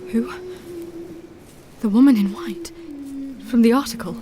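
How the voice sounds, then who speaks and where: An actress playing a resolute young Victorian wife in an audio drama, speaking earnestly in precise, formal period language. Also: female voice, fairly high pitch, unhurried, background noise, natural voice. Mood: alarmed.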